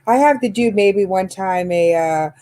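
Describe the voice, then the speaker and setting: Chatty fifty-year-old woman, mellow voice. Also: mid-range voice, a little slow. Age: 50s. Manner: chatty, lots of fillers and laughs. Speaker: channel host. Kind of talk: casual chat about makeup.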